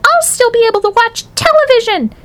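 A woman voicing a tiny shrunken boy, bratty and insistent, with a squeaky little voice for a storybook. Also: expressive, fairly quick, fairly bright tone. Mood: defiant.

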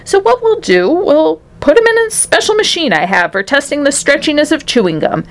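Woman as a fussy, excitable eccentric gentleman inventor. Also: high voice, brisk, voice pushed up. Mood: matter-of-fact.

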